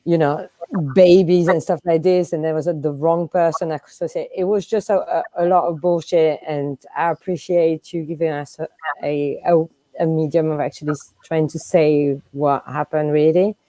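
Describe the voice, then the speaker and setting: A woman guest in a casual group discussion, speaking haltingly and searching for her words. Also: sits low, steady tone, dark tone, no room sound, low-quality recording.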